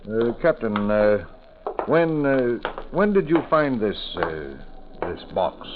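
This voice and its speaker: A man's voice: mid-range voice, sing-song, takes it slowly. A man acting a solemn sea captain in a 1940s radio drama, hesitant and broken in phrasing, on a tinny, noisy recording.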